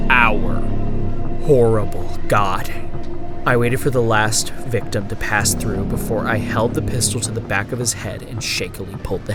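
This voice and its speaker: A man playing a haunted, dying survivor who gravely narrates his journal in a horror audio drama, deliberate and intense. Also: fairly low pitch.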